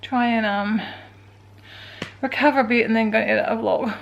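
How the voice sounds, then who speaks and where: An Australian woman in her forties with a croaky voice, talking casually and wearily through her day at home in a vlog. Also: on the high side, steady tone, moderate pace. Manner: tired, rambling, self-talking. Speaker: vlogger. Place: indoors at home.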